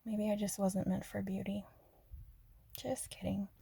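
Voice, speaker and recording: A woman from Arizona chatting softly and rambling in a half-whispered ASMR style, joking about herself. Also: medium pitch, flat delivery, measured pace, creaky, breathy edge, no room sound. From Arizona, USA. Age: adult. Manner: soft, half-whispered, self-deprecating, giggly. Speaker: ASMR creator. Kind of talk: soft-spoken rambling ASMR chat.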